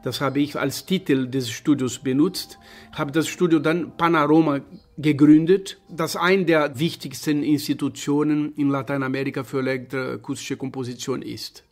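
Guy in his 50s, hunts for words and repeats himself, soft music under him. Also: fairly high pitch, steady tone, moderate pace. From Brazil. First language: Portuguese. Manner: careful, searching for words, with repeats and self-corrections. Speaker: composer presenting his work. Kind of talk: radio talk on his own music. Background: faint music.

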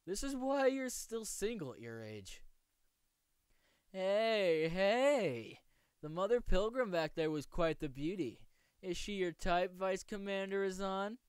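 A man's voice: high voice, clean tone, dry recording, studio quality. A man voicing a flippant young knight from a manga who teases playfully. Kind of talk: amateur voice acting showcase of manga lines.

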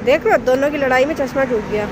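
A woman's voice: sits high, fast. A woman chatting casually to the camera in a family vlog, teasing and talking to viewers as she walks through a city and a mall. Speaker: family vlogger. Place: outdoors in a busy city and a shopping mall. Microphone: phone camera. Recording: loud crowd and street noise. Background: crowd and traffic noise.

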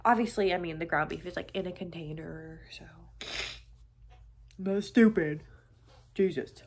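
A woman's voice: fairly low pitch, a little slow, darkish tone, slightly rough. A woman in her twenties with a stuffy nose from a cold, rambling casually with many fillers as she vlogs her day into a phone held at arm's length, first in a car and then at home. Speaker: vlogger. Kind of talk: casual day-in-the-life vlog chat. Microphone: phone camera held at arm's length.

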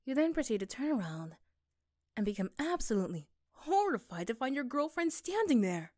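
A woman's voice: high-pitched, very animated, fairly quick, a little mellow, no echo, clean studio sound. A woman reading scripted story narration for an ASMR roleplay.

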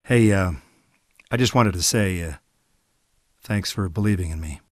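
An actor playing a brash, sarcastic American horror hero locked in an asylum, in a video game cutscene. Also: male voice, deep voice, unhurried, no room sound, studio quality. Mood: awkwardly sincere.

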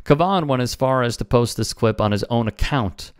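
A man's voice: mid-range voice, lively intonation, moderate pace, clear, resonant tone, dry recording. Male news host, stern and lecturing, dry studio sound. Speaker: sports news commentator. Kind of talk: scripted news commentary.

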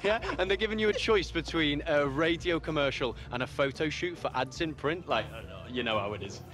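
A man's voice: on the high side, fairly quick, a bit bright, close to an everyday voice. A young man acting a breezy, boastful wheeler-dealer mate in a video game, chirpy like a salesman. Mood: proud. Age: young adult. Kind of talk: naturalistic video game cutscene dialogue.

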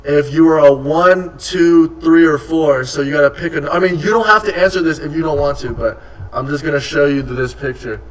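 A young male streamer who talks in loose slang and swears while teasing his guest. His voice sits fairly high and sounds a bit removed from the microphone. He is recorded indoors at a desk setup. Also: brisk, distant mic, rough recording. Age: young adult. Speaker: streamer host. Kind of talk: casual live-stream chat.

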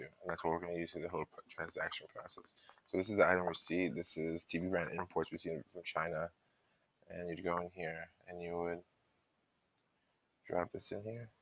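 Male software trainer, very deep but crisp-edged voice, informal walkthrough delivery, explains while thinking aloud. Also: poor audio.

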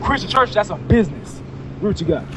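Young man, high voice, emphatic outdoor street preacher on a handheld mic with faint traffic behind. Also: big pitch swings, quick pace. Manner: emphatic, questioning, repeats words. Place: outdoors by a parking lot.

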